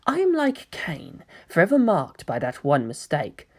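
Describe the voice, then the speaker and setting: A woman voicing a brooding, grandiose young male antagonist in a story reading, speaking in a hushed, grave manner. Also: sits low, wildly swooping pitch, measured pace, exaggerated voice. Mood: brooding regret. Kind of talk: dramatic audiobook character reading.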